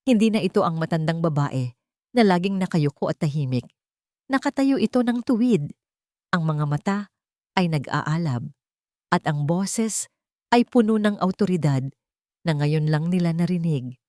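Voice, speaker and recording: A woman with a fairly low voice tells a dramatic story as its narrator. The delivery is scripted storytelling, and the sound is dry and close. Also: animated, moderate pace, long pauses, mellow, no echo.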